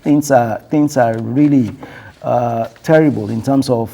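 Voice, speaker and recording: Man, panel guest, halting delivery with fillers, dark husky voice. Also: medium pitch. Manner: halting, many fillers and restarts. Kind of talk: panel discussion.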